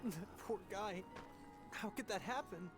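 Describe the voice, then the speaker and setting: A man's voice: sits high, unhurried. A man voicing an earnest, sentimental young rookie adventurer in a video game. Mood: awed. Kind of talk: video game character acting. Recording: loud game music behind. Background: game music.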